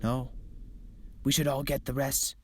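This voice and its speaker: A curt, short-fused young man from a boy band, voice dropped low and hushed, as a reader puts him on, with music in the background. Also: flat delivery, unhurried, slight background noise, lightly acted. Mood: worried. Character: hot-tempered young male pop singer. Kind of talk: reading fan fiction aloud.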